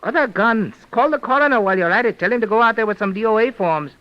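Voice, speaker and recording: An actor playing a cynical, wisecracking doctor, blunt and dry, in a 1940s radio mystery. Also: male voice, high voice, fast, lightly acted. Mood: insistent, sardonic. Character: cynical, sardonic male doctor, detective's friend. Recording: dull, lo-fi vintage radio recording.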